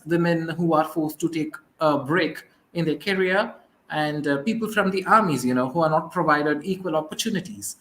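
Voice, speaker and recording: A man's voice: a little high, fairly bright tone. A man from southern India with a South Indian accent and Tamil as his first language, answering interview questions hesitantly, with many fillers. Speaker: guest.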